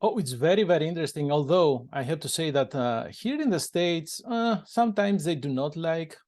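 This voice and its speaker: A man's voice: on the high side, expressive, moderate pace, darkish tone. A man interviewing a band, hesitant, often repeating words and laughing. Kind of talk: casual interview questions.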